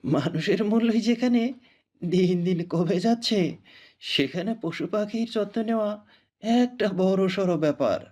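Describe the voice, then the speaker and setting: A man's voice: high voice, expressive, measured pace, darkish tone. A man playing a poor, worried bird-hunter father in a cartoon, with a grumbling, fatalistic manner. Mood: weary, resigned. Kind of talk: cartoon voice acting.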